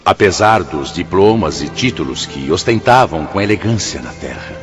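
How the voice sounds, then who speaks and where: A man's voice: sits low, lively intonation, normal speed. A man acting an earnest, moralizing spirit in a radio drama, formal and rhetorical. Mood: grave. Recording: dull lo-fi audio, faint music.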